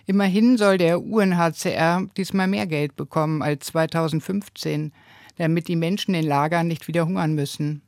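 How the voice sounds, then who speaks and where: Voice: mid-range voice, vocal fry, no room sound. Earnest radio preacher with a dry, close sound. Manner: earnest, emphatic, rhetorical questions and short phrases. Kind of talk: scripted radio devotional, spoken commentary.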